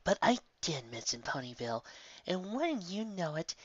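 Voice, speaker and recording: A man's voice: high voice, sing-song, normal speed, no room sound, lo-fi sound, pitched above the performer's own voice. A man voicing a teasing, chatty female pony in a storybook reading, with a sly, gossipy, needling manner. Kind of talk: audiobook character acting.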